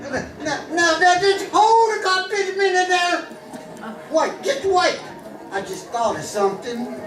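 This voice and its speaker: Very high-voiced, fretful lawman's sidekick played by a man. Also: expressive, a little slow. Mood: nervous, excited. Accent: American. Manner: hesitant, repeating himself. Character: eager, fretful, deferential marshal's helper, a man. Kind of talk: old-time radio western acting, live stage. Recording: distant echoey stage, music behind.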